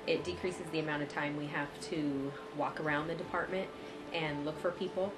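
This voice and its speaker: A woman's voice: deep voice, steady tone, normal speed, over music, poor audio. A woman on a hospital staff explaining her work in a testimonial interview.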